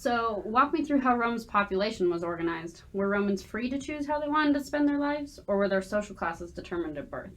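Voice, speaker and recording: A young woman from Idaho, a student guest, asking prepared questions in a plain and steady way. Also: mid-range voice, brisk, hardly any pauses, rough recording. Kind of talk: podcast questions.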